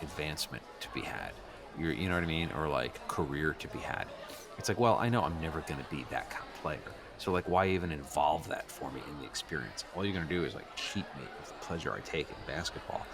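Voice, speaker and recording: A man playing an earnest teenage new student in an improvised radio play, talking haltingly in casual teen slang. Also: extremely low pitch.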